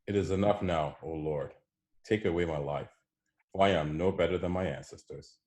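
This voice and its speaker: A deep-voiced, weary prophet acted out by a man in a dramatized scripture reading. He is despairing yet zealous as he pleads his case. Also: moderate pace, darkish tone, close to an everyday voice.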